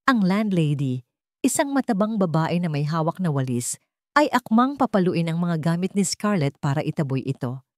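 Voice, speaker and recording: A woman's voice: on the low side, big pitch swings, normal speed, a little mellow, dry recording, studio quality. A woman reading a scripted love story as narrator, in a dramatic storytelling style.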